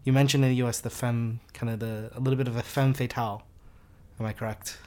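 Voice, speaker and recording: A young man from the United States, speaking in an interview in a reflective, measured way, stretching out key phrases. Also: medium pitch, level tone, warm tone. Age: young adult. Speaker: guest, student organiser. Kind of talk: conversational interview.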